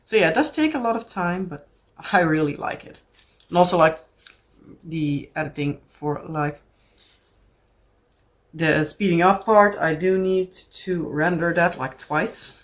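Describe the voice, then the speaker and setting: A woman's voice: fairly low pitch, measured pace, long pauses. Chatty Dutch-accented woman vlogger. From Netherlands. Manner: chatty and rambling, many fillers and restarts. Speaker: craft vlogger. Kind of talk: casual chat to camera.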